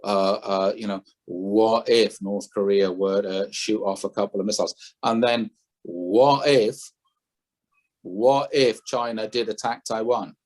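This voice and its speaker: A man's voice: fairly low pitch, steady tone, unhurried, a little mellow, rough recording. A man, a former head trader, speaking as a podcast guest, opinionated and thinking aloud, often correcting himself mid-sentence. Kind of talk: conversational interview.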